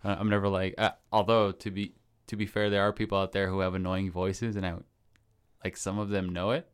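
A man's voice: deep voice, flat delivery, fairly quick, smooth. A young man co-hosting a podcast, chatting casually, swearing offhandedly and acting out his own thoughts. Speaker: podcast co-host. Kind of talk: casual chat.

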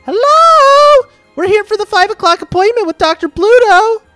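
Nagging cartoon lady, done by a man, very high squeal, impatient and dramatic, music underneath. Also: animated, normal speed, bright, forceful tone, full character voice. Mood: eager calling. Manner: squealing, scolding, pleading.

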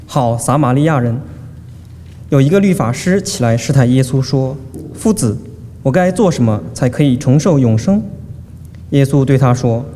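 A man reading scripture aloud at a service, steady and deliberate. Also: mid-range voice. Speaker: scripture reader. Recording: long hall reverb, faint room noise.

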